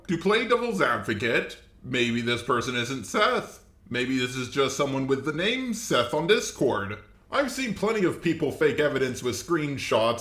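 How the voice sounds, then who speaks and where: A man's voice: fairly high pitch, fairly bright tone, reverberant. A man hosting an informal scripted commentary video, talking in a blunt, casual way with some swearing. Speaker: host, commentator.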